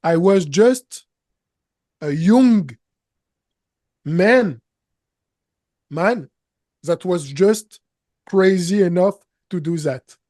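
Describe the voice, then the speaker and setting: A man in his thirties speaking English with a French accent, his first language being French. He talks slowly and haltingly as an interview guest, repeating words as he searches for phrasing. The sound is dry and close, seated in a studio. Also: high-pitched, expressive, dark tone, dry recording. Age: 30s. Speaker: business coach guest. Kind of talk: interview conversation. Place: studio set, seated in armchair.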